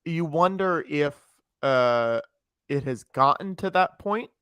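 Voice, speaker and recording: A man's voice: a little high, lively intonation, slow pace, fairly bright tone, smooth, no echo. Dry-recorded male podcast guest. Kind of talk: casual chat.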